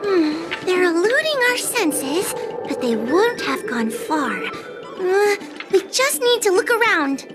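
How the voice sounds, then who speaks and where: A woman's voice: extremely high pitch, big pitch swings, takes it slowly, heavily acted. A woman voicing a timid, earnest young fox-girl exorcist in an anime-style game, hesitant and stammering as she explains things. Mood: earnest, unsure. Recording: game music behind the voice.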